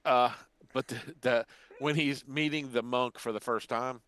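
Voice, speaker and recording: A man's voice: mid-range voice, fairly even intonation, unhurried, a bit bright, dry recording. Older man podcaster in a dry, close recording. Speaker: podcast co-host. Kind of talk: casual chat about sci-fi shows.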